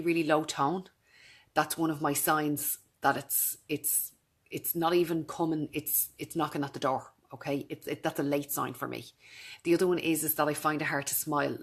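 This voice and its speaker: A woman in her thirties with a naturally low voice, talking earnestly and directly to her camera in a vlog. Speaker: vlogger. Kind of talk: casual talk to camera.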